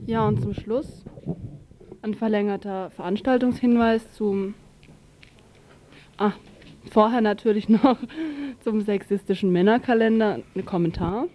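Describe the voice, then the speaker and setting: A woman's voice: mid-range voice, a little slow, long pauses, mellow. A woman presenting a radio news overview in an informal way, stumbling now and then over announcements.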